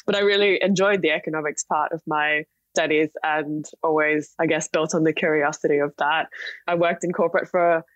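A woman's voice: sits low, fairly even intonation, fairly quick, dry recording, lo-fi sound. A young woman from Singapore telling her story conversationally as an interview guest on a podcast. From Singapore, partly Australia. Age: young adult. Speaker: podcast guest, startup chief executive.

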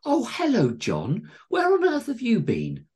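Doting, long-suffering housewife, as played by a man: fussy, fretful, high and swooping, for a comic story. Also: huge pitch swings, measured pace, darkish tone.

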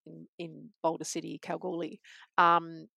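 A woman in casual conversation, reflective and earnest, pausing with frequent ums. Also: deep voice, monotone, creaky, sharp tone, no echo.

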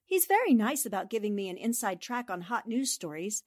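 An actress playing a polite, inquisitive newspaper reporter in the style of a 1940s radio drama. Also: female voice, medium pitch, very animated, brisk, darkish tone, dry recording, studio quality. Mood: gracious. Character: quick-witted woman newspaper reporter.

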